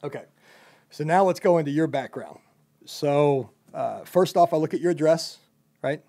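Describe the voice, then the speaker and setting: A man's voice: a little high, normal speed, mellow. A young man who owns a company, casually interviewing a job applicant in a loose, rambling way with lots of fillers.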